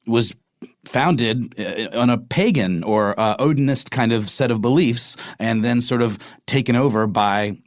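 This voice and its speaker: A man's voice: medium pitch, unhurried, dry recording, studio quality. A man co-hosting a podcast, explaining things thoughtfully with many fillers along the way. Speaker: podcast co-host. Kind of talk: casual conversational discussion.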